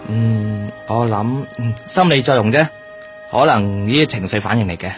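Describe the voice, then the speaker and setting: An actor playing a reassuring, casually teasing doctor in a Cantonese radio drama, with a husky voice and a Hong Kong accent. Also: male voice, fairly low pitch, normal speed, soft background music, lightly acted. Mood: reassuring, attentive.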